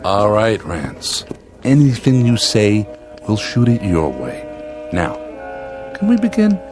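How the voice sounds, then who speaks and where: An actor playing an exasperated, placating TV director, weary and dryly sarcastic. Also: male voice, on the low side, wildly swooping pitch, slow pace, music in the background, exaggerated voice.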